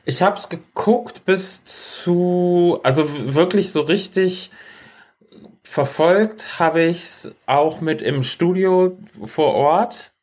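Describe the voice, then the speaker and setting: A man's voice: high-pitched, slow pace, a little mellow. A man chatting casually and humorously as a podcast co-host, telling things in an earnest, wordy way.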